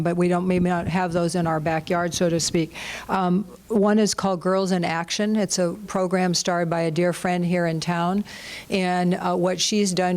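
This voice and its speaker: A woman speaking on a conference panel, earnest and halting, often restarting her sentences. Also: lowish voice, a little mellow. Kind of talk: panel discussion remarks. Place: conference session room.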